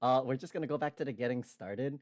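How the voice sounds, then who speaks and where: A streamer hosting a casual livestream, talking in a halting, rambling way. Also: medium pitch, a little mellow, no room sound, studio quality. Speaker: streamer, host.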